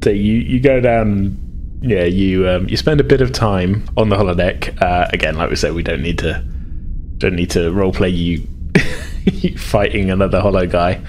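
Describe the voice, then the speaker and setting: A man's voice: deep voice, normal speed, a little mellow, a little hiss or hum. A man acting as game master, improvising tabletop game narration in a hesitant, conversational way.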